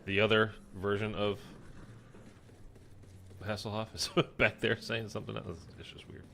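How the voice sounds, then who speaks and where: Man, gaming streamer, stuffy nose, gravelly, bright edge, rambling chat. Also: lowish voice, little pitch movement, moderate pace, slight background noise. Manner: rambling, chatty, many fillers. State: stuffy, congested. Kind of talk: casual gameplay commentary.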